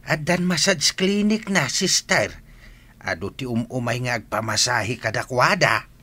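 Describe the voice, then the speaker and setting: A man's voice: mid-range voice, expressive, moderate pace, bright, forceful tone, breathy edge. An actor playing a respectful, grateful elderly grandfather in a radio drama.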